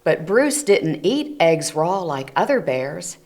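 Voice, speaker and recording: A woman reads a children's picture book aloud at an unhurried, slow pace. Her voice sits fairly low, and she gently stops to explain the pictures to young listeners. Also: big pitch swings. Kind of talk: read-aloud storytelling for children. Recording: community TV recording.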